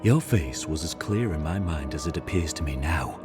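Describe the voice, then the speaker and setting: Deep-voiced, solemn repentant assassin. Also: male voice, lively intonation, normal speed. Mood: grave, earnest. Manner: grave, earnest, formal oratory. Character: solemn honorable repentant male assassin, devout zealot. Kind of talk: dramatic video game dialogue. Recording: game music behind the voice. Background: music.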